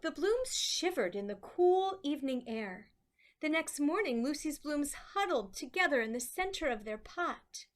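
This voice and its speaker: Slow-paced woman reading a children's story. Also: sits high, lively intonation. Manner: deliberate, pausing between short phrases. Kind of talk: slow, expressive picture-book read-aloud.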